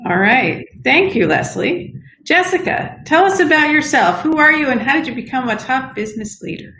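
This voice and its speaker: A woman moderating a virtual panel over a video call, polite and measured as she hands over to each speaker. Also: sits high, big pitch swings, pushed and bright, reverberant, slight background noise.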